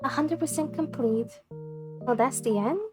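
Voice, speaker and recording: A woman reading a visual novel script aloud in an expressive, dramatic way over game music. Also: high voice, measured pace.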